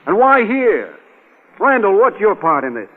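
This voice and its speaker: An actor playing an indignant, belligerent murder suspect with tough talk in a vintage radio crime drama. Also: male voice, high-pitched, big pitch swings, unhurried, full character voice. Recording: muffled old-time radio recording.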